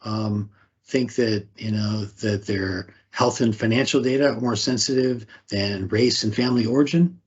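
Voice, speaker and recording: Guy giving a privacy talk, slightly husky voice, keeps asking questions, dull lo-fi sound. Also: lowish voice, normal speed. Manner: asks rhetorical questions, tags "right?". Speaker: speaker leading a privacy talk. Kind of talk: conversational presentation.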